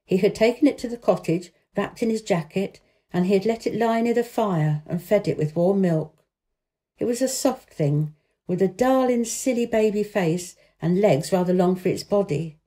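A woman's voice: mid-range voice, normal speed, dark tone. A woman reading a children's novel aloud as a storybook narrator.

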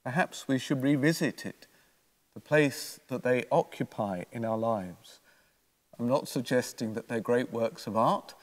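Older priest in a reverberant church. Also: male voice, medium pitch, unhurried. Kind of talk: homily, read from prepared notes. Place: church, at a lectern. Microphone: gooseneck lectern microphone.

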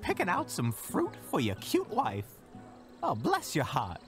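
Older fruit seller played by a man, hugely swooping pitch, slow pace, jolly, folksy, effusive, audio drama. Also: wildly swooping pitch, soft background music, full character voice. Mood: jovial.

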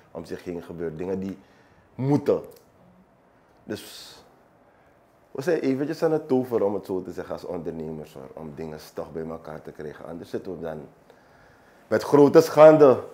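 A man in his thirties with a Surinamese accent, answering in an unscripted interview in a halting way, repeating phrases for emphasis. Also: on the low side, dark tone. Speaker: barber, small business owner interviewee. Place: small barbershop, indoors, seated. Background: background music.

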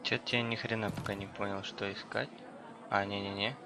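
A hoarse young man casually commenting while playing a video game, slangy and mumbling at times. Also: on the low side, little pitch movement, a little fast, a crowd in the background, noisy background. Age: young adult. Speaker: gameplay commentator. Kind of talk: casual let's-play commentary.

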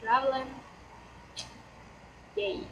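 A woman's voice: high voice, fairly even intonation, extremely slow, muffled recording. A German teenage girl with a German accent and a slight cold, chatting casually and hesitantly over her gameplay. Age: teens. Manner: chatty, hesitant, many fillers. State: hoarse from a cold. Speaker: let's play host. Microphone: laptop mic. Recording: roomy, distant laptop mic with fan noise. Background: laptop fan noise.

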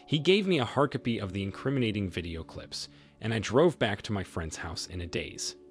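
Male narrator, low voice, lively pitch, scripted story read, music behind. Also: fairly quick. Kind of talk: scripted narration of reader stories. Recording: voice-over with soft music bed.

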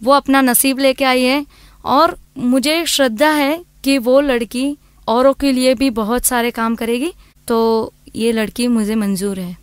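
A woman's voice: on the high side, steady tone, unhurried, smooth, no room sound. A woman from Gujarat, telling a personal story as an interviewee. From Gujarat, India.

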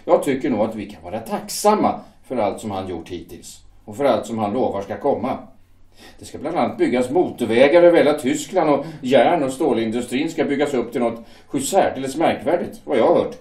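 A man's voice: medium pitch, lively intonation, a little mellow, reverberant, recorded from a distance, natural voice. An actor playing a working man who defends joining the party to his brother, earnest and persuasive. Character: shipyard foreman turned party loyalist, brother. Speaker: Erich, older brother. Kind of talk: amateur audio drama acting.

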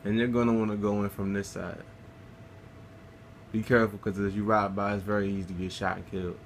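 A man's voice: on the low side, little pitch movement, brisk, slight background noise. A man casually talking through a game walkthrough, laid-back and swearing freely as he plays. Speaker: gameplay guide narrator.

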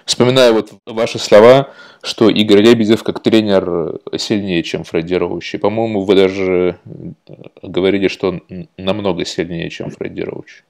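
A man's voice: deep voice, steady tone, moderate pace, vocal fry, darkish tone. A man interviewing someone conversationally over a video call.

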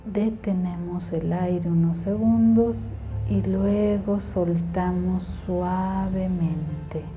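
A woman's voice: on the low side, fairly even intonation, very slow, dark tone, soft background music. A woman leading a guided meditation in a soothing, instructional way.

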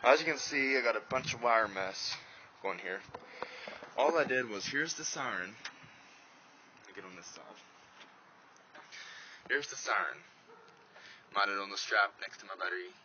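A man's voice: fairly low pitch, animated, normal speed, pauses often, sharp tone. A plain-spoken man giving a casual hands-on walkthrough as an informal vlogger, recorded on a handheld camera around a truck. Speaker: vlogger demonstrating an install. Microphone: handheld phone or small camera. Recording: muffled, noisy handheld camera audio.

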